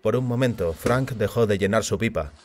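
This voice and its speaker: A man's voice: fairly low pitch, moderate pace. A man reading a short story as scripted audiobook narration. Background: music.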